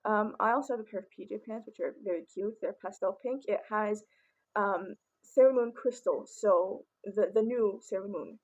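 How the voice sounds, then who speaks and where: A young woman with a raspy voice, chatting casually and rambling with many ums as she shows off her collection. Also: medium pitch, moderate pace, darkish tone, highs cut off. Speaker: vlogger. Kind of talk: casual collection show-and-tell.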